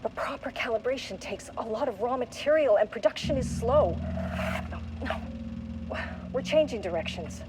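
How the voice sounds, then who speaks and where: A coldly ambitious woman scientist in a video game audio log, played by an actress. She is clipped, clinical and intense, at a medium pitch. Music runs clearly underneath. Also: lightly acted. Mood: resolute. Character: obsessive, coldly ambitious female research scientist. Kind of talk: recorded research log, game acting.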